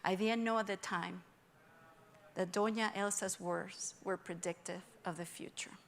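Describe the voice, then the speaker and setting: Venezuelan lady w spanish accent giving a forum talk, middle aged, earnest, thoughtful pauses. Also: mid-range voice, steady tone, vocal fry, fairly bright tone. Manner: reflective, earnest, measured pauses. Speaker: forum speaker. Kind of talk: personal storytelling speech. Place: conference room with audience.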